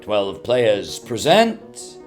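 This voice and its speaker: A man playing a grandiose announcer of a 1940s-style radio drama, theatrical, measured and declamatory. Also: on the low side, wildly swooping pitch, heavily acted. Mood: grandly formal. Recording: muffled, music behind the voice. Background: guitar music.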